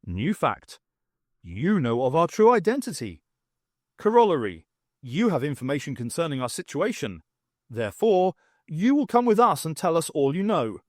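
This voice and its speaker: A man's voice: on the high side, big pitch swings, normal speed, a little mellow, dry recording, studio quality. Man doing a stilted, literal-minded alien leader. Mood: stern, formal. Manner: clipped, formal, stilted declarations.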